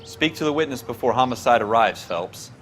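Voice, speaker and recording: Animated, streetwise American beat cop played by a man. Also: medium pitch, a little fast, a little hiss or hum, natural voice. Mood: coaching, wry. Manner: colloquial, instructing. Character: brisk, streetwise male beat cop, crude and helpful colleague. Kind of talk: dramatic video game acting, 1940s crime drama.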